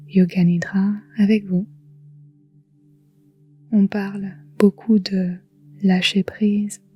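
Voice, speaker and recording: A woman guiding a relaxation meditation in a soft, whispery, calm and soothing voice, leaving long pauses. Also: mid-range voice. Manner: soft, breathy, whispery delivery. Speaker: yoga nidra guide.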